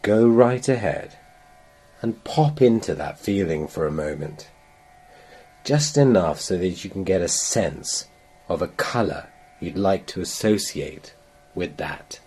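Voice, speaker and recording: Very deep, slow, husky male meditation guide. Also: expressive. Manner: gentle, unhurried, measured with long pauses.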